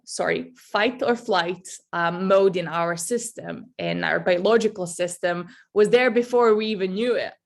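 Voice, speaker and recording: Nineteen-year-old woman. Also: mid-range voice. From Serbia. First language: Serbian. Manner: conversational, self-correcting. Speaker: youth advocate and student speaker. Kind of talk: informal personal talk at an online forum.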